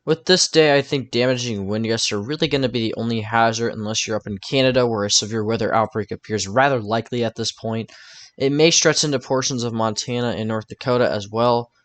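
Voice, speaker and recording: A man's voice: mid-range voice, brisk, a bit bright. A man talking informally through a weather forecast over maps, thinking aloud in a casual, tentative way. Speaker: weather forecaster presenting his own video.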